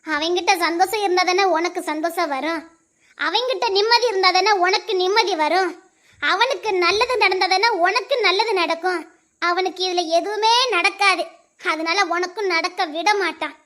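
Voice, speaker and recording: A woman's voice: very high voice, fairly bright tone. An actress playing a mother who scolds her daughter and preaches about bad company at length. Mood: moralizing. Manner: scolding, moralizing, in long proverb-like sentences. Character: stern mother lecturing her grown daughter.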